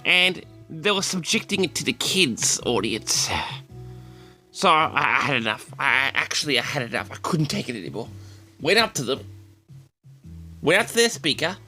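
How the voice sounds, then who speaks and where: A man hosts a storytelling vlog with a forceful, gravelly edge to his voice. His delivery is theatrical and indignant, full of dramatic pauses and wide swings in pitch. He speaks straight to his audience as he tells his tale. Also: sing-song, faint music behind. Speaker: vlog host. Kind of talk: storytelling monologue addressed to the audience.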